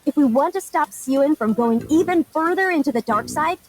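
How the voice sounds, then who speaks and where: A woman's voice: high-pitched, lively intonation, normal speed, soft background music. A woman playing a boastful, childlike hero girl in a video game, with a theatrical, goofy delivery. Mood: earnest.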